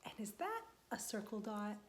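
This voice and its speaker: A young woman, a children's librarian, reading a picture book aloud to small children, gentle and patient, asking them questions and waiting for their answers. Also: medium pitch, very animated, moderate pace, a little hiss or hum.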